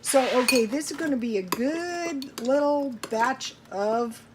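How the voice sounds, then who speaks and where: A woman's voice: high-pitched, lively intonation, unhurried, background noise. A woman chatting casually to viewers while cooking at home, thinking aloud in a rambling, folksy way. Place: home kitchen.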